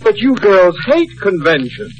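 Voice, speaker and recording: Blustery American banker husband, lively swooping voice, dull vintage radio sitcom recording. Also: male voice, high-pitched, unhurried, faint music behind. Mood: flustered, evasive. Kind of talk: 1950s radio sitcom acting. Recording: dull, band-limited old radio recording.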